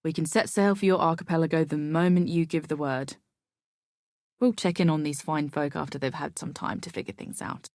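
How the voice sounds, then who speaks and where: A woman's voice: deep voice, steady tone, fairly quick, a little mellow, no echo, clean studio sound. A woman playing a roguish, plain-spoken pirate sea captain in a video game, with a salty, cocky, colloquial way of talking. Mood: wry, easygoing. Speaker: game voice actor. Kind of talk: video game character dialogue.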